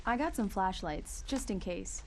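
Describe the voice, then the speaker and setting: Woman as a slow-talking affectionate young wife. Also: mid-range voice, lively intonation, darkish tone, a little hiss or hum, lightly acted.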